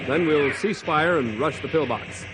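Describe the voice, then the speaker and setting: An actor playing a firm, protective Marine officer in an old-time radio drama, speaking in a clipped, commanding way. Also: male voice, a little high, a little slow, natural voice. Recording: noisy vintage radio recording.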